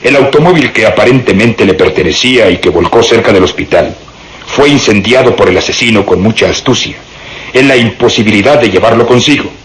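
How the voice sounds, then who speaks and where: Un actor como inspector de policía seguro, voz grave. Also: male voice, fairly low pitch, brisk, a bit bright, a little hiss or hum, lightly acted, poor audio. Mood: confident, triumphant. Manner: declamatory, confident, interrogating. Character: insistent, triumphant male police inspector, sure of himself. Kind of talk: radio drama acting.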